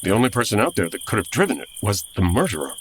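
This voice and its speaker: A woman's voice: a bass voice, big pitch swings, fast talker, a little hiss or hum. A woman playing an exasperated, fussy radio sponsor man, dry and indignant, in a comedy audio drama. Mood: wary, sardonic.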